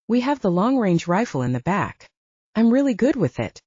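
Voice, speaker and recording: An urgent, resolute young woman in an audiobook, put on by a woman narrator. The voice is raised and lively, anxious but determined. The audio is slightly dull. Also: medium pitch, moderate pace, husky, dry recording, pitched above the performer's own voice.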